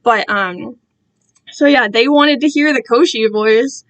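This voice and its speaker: A young woman with a fairly high-pitched voice hosts a casual livestream. She talks in a loose, rambling style, animated and prone to interrupting herself as she goes. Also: unhurried, rough recording. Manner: animated, self-interrupting, quotes herself and others dramatically. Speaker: livestream host and amateur fan fiction reader.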